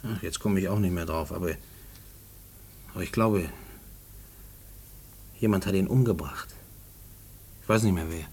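An actor playing an uneasy, haunted man in a German radio play, speaking in a brooding, halting way. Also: deep voice, darkish tone, slight background noise. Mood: musing, troubled. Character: haunted, uneasy husband and condemned man, John.

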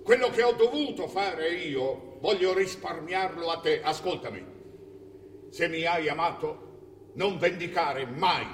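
In a distant, reverberant hall, a man plays the ghost of a dead king. The voice sits high for a male and moves slowly, declaiming with solemn, fatherly pleading in a stage-drama style. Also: close to an everyday voice. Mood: solemn, imploring. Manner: slow, emphatic, declamatory. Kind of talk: theatrical stage acting. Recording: distant echoey hall, faint noise.

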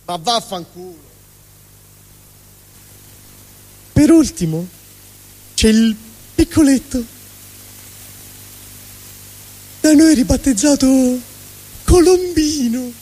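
A man's voice: extremely high pitch, expressive, measured pace, pauses often, voice pushed up. A man performing a theatrical comic stage monologue as an angry, vulgar but honest middle finger, ranting sardonically. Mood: irritated, mocking. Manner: ranting, sardonic, swooping between mutter and shout. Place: live stage at a fundraising event. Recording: echoey hall reverb, live stage.

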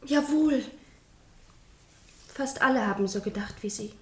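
High-voiced, fiery young woman. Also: a little slow, echoey room. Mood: defiant, indignant. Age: young adult. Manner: passionate, blunt. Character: fiery, defiant young daughter of a governor. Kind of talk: German radio play acting.

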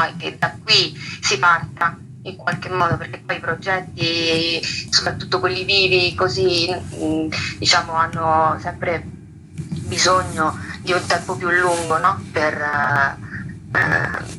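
A woman's voice: low-pitched, level tone, measured pace. A woman, an actress, speaking informally in a radio interview over the phone, often checking in with a short question tag. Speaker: stage actress being interviewed. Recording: noisy phone line.

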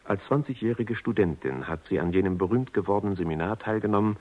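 A man with a husky voice giving measured, factual scripted narration for a radio drama. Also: lowish voice, animated. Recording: narrow-band vintage radio recording.

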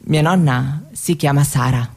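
A woman's voice: low voice, a little slow, a little mellow, breathy edge. An actress playing a young Roma woman who shyly tells her grandmother's story in a hesitant, conversational theatre monologue. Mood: grave, sorrowful. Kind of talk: theatrical monologue told as spoken testimony.

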